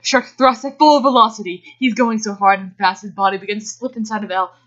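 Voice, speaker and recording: A woman reads fan fiction aloud in an amateur dramatic style, with casual asides. Her voice sits at a middling pitch. The home recording is slightly dull and lo-fi. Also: fairly even intonation, brisk, fairly bright tone. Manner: giggly, often breaks off to react. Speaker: reader.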